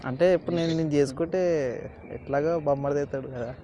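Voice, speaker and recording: Cheeky fast-talking man. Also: on the high side, steady tone, heavy background noise. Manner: teasing, cheeky. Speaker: prank caller. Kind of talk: prank phone call.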